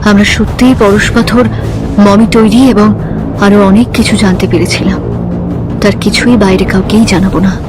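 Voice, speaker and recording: A woman's voice: mid-range voice, steady tone, moderate pace, music in the background, lightly acted. A woman playing a noble-born, curious young adventurer in an audio drama, speaking expressively and questioningly. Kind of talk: dramatised audio story acting.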